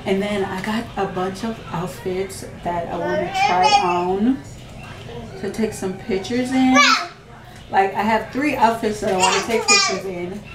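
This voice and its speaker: A woman in her thirties with a faint Jamaican accent, talking casually to her camera in a slow, relaxed, chatty way. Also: mid-range voice, echoey room, over a noisy crowd. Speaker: vlogger. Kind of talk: casual vlog chat. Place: living room indoors, then a parked car.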